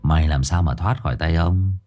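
A man voicing a gruff young male exorcist who speaks low and mockingly. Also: moderate pace, warm tone. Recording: faint background music.

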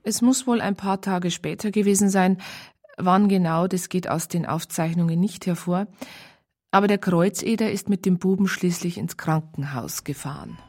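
A woman's voice: fairly low pitch, moderate pace, darkish tone, natural voice. A woman playing a police colleague who recounts a case in a matter-of-fact, colloquial way with a Bavarian accent. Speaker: narrator. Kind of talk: first-person conversational narration in radio crime drama.

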